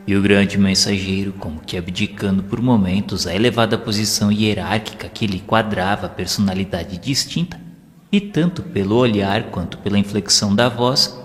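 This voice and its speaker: An actor playing a reverent spirit pupil who narrates an audio novel in earnest, literary, measured phrases with a Brazilian accent. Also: male voice, lowish voice, natural voice. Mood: earnest, respectful. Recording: long hall reverb, background music.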